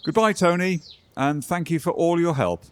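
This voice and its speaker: A man's voice: on the high side, moderate pace, a little hiss or hum, natural voice. An actor in a community radio play, playing a tired, skeptical male scientist who dismisses what others say. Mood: impatient.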